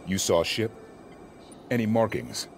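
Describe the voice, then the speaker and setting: A calm soldier leading his fire team, with a very low voice and clipped, polite speech. Clear background noise sits under him. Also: a little slow. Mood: steady. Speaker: squad leader.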